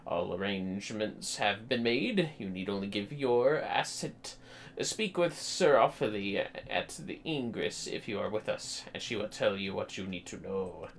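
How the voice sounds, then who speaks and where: A man voicing a solemn knight in a fantasy game, speaking formally in measured, archaic phrasing. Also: lowish voice, lively intonation, a little hiss or hum. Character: solemn knight officially petitioning an adventurer for aid.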